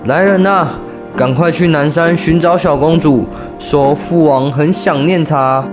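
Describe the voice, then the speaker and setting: A young man as a slow, stately doting king. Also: fairly high pitch, natural voice. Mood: longing. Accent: Taiwanese Mandarin. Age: young adult. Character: old-time king, doting father, indecisive ruler. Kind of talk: student radio drama acting. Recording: music bed behind voice.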